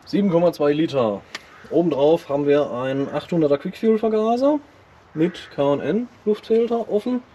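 A man's voice: a little high, moderate pace, muffled recording, slight background noise. A man hosting a casual car vlog, presenting his vintage car outdoors in an enthusiastic, chatty way. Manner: enthusiastic, chatty, relaxed hobbyist talk. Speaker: car channel host.

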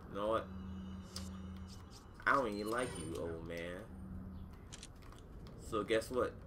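Low-voiced man trash-talking a game. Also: slow pace, noisy background. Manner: trash-talks game enemies, loud outbursts. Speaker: let's play gamer. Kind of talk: casual gameplay commentary. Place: his own hot room.

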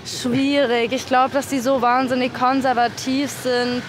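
A woman answering a street interview in a lively, casual way. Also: high-pitched, measured pace. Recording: noisy outdoor street.

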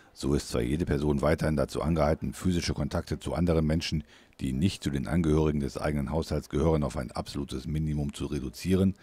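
A male reporter narrates a scripted news piece. His voice is extremely low, recorded dry and without room sound. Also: warm tone, slightly rough, no room sound.

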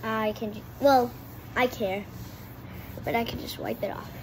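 A young girl rambles to her viewers from a home kitchen table, often stopping and restarting her sentences. Her voice sits a little high and moves slowly. The phone recording carries clear background noise from the room. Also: steady tone, low-quality recording. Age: child. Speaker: kid vlogger. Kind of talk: casual chat to camera. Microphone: phone propped on the table.

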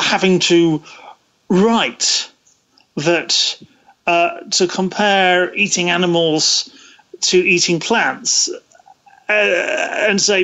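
Slow, halting man on lo-fi audio. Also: high-pitched, a bit bright. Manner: halting, searching for words. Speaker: interview guest, radio series producer. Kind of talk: thoughtful interview talk.

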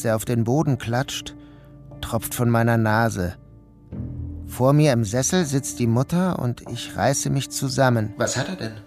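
An actor playing a troubled, dazed man who narrates his own day in a measured, literary radio drama style. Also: medium pitch, a little mellow, lightly acted. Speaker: first-person narrator.

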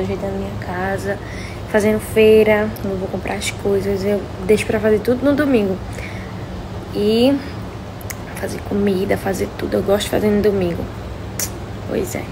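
Slightly hoarse young Northeastern Brazilian woman, creaky. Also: medium pitch, fairly even intonation, moderate pace. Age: 20s. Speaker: social media vlogger. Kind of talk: casual chat to followers. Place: indoors at home, several rooms. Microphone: phone at arm's length. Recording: noisy phone recording at home.

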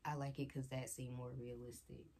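A woman's voice: rumbling low, flat delivery, normal speed, heavy background noise. A young woman chatting casually while she tidies her bedroom, rambling through a book plot with lots of fillers, moving near and away from a camera set on a shelf. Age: young adult. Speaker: vlogger. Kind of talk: casual chat while tidying. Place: small bedroom with bookshelf and TV, indoors. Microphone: phone or camera set on a shelf, she moves near and away.

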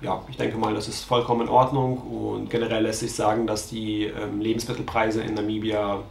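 A man in his thirties, a travel vlogger, calmly going through trip costs to a camera in a bedroom, glancing at his notes. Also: on the low side, brisk, vocal fry, echoey room, slight background noise. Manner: matter-of-fact, reads figures from notes. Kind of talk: scripted cost breakdown to camera. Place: bedroom with mosquito net, indoors.

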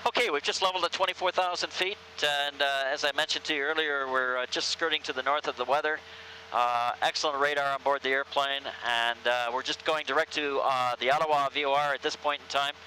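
An older man, an airline captain, speaks through a headset in the cockpit with a very bright, sharp tone. He explains things in a relaxed way and pauses often. A faint hum of aircraft noise sits behind him. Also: fairly high pitch, low-quality recording. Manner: relaxed, explanatory, many fillers. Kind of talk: cockpit commentary. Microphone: aviation headset.

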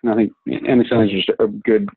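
A man's voice: lowish voice, little pitch movement, fairly quick, slightly rough, dry recording. A man in a casual group discussion on a video call, thinking aloud in a rambling, reflective way. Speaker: class participant. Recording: dull, lo-fi video call audio.

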